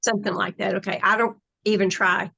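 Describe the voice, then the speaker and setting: A woman as a guest on a talk show, explaining her ideas in an unhurried way and often checking in with "right?". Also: mid-range voice, fairly even intonation, creaky, pushed and bright, breathy edge. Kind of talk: casual interview conversation.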